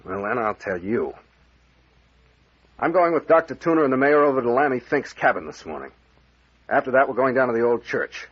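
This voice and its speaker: A tough private investigator in an old radio mystery. He is brusque and commanding, with a rough edge to a mid-pitched voice. The sound is muffled, like an aged broadcast. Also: animated, fairly quick, long pauses. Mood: firm. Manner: curt, commanding, questioning. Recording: dull vintage radio recording.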